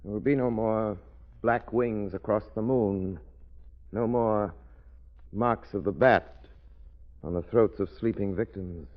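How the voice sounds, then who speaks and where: An actor playing a suave, confident gentleman sleuth in composed, theatrical 1930s radio drama style. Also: lowish voice, slow, pauses often. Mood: calm satisfaction. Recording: dull 1930s radio, music behind.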